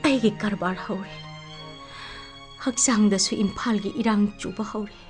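A woman's voice: medium pitch, racing, darkish tone, natural voice. A woman in a radio play, playing a discontented, ambitious village wife with a nagging, emphatic manner. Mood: reflective, subdued. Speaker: radio drama actress. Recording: music under the voice.

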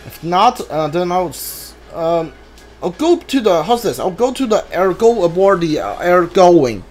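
A man with a fairly high voice streams a video game playthrough. He talks casually and haltingly, thinking aloud and reading screen text as he goes. Game music plays behind him. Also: sing-song, darkish tone.

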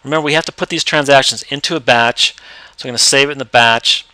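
A man explaining step by step how to use accounting software in a screen-recorded tutorial. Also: mid-range voice, quick pace, smooth, no room sound. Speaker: software trainer.

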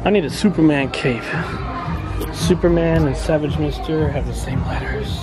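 Chatty man vlogging in a loud theme park. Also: fairly high pitch, normal speed. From Texas. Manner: chatty and goofy, whooping on rides. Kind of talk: casual theme park vlog. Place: outdoor theme park and gift shops. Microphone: handheld camera at arm's length. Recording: loud crowd and music behind. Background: crowd and park music.

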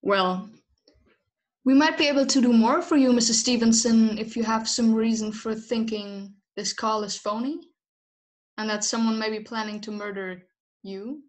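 A young woman playing a dismissive, patronising police officer in a drama, measured and condescending. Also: on the high side, lightly acted. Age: young adult. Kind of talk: radio-play acting over video call.

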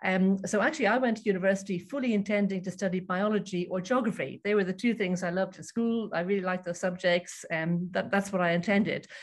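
A woman's voice: medium pitch, steady tone, a little fast, hardly any pauses. A middle-aged Irish woman, a psychology professor, talks over a video call. Her answers are friendly and chatty, with plenty of ums and small restarts. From Ireland. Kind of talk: casual interview answers.

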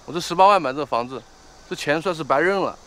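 A man's voice: on the high side, quick pace, pushed and bright. An actor playing a younger male house buyer in a film, casual, matter-of-fact and reluctant to pay. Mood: grudging.

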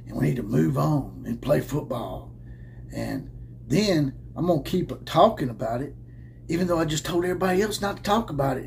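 A combative man in his thirties rants to camera, sarcastic and swearing, with mocking laughs. His voice sits a little high for a man. A faint hum of noise runs under the indoor desk-camera sound. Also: vocal fry, darkish tone. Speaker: host of a college football commentary channel. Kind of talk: opinionated rant to camera. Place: indoor room with caps hung on the wall. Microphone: camera at desk framing head and shoulders.

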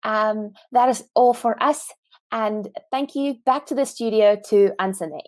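A young woman in her twenties leading a spoken prayer over a video call, with gentle fillers. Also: fairly high pitch, a little slow, no echo. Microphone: headset earphones on video call.

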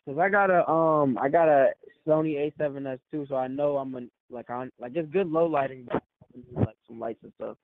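A man's voice: mid-range voice, fairly quick, dry recording. An American man talking casually and in slang over a phone line, as a videographer discussing a job. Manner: slangy, informal. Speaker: music video videographer on a call. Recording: tinny narrowband phone line.

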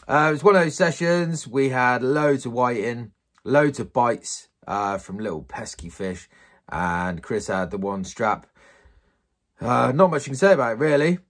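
A man in his thirties talks through his night on the beach in an easygoing vlog voice, with plenty of ums and uhs. His pitch sits in a middle range. He sounds like a regular host chatting to his viewers. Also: no room sound, studio quality. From England. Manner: relaxed, chatty, lots of fillers. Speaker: angling vlogger. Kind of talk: casual fishing vlog commentary. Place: night beach outdoors, then indoor room at home.

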